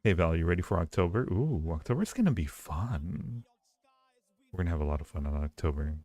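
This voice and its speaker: A man's voice: low-pitched, sing-song, fairly quick, a little mellow. A man hosting a livestream, chatting casually with viewers in a playful, flirty way and often repeating his phrases. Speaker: livestream host. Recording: roomy echo, faint music behind.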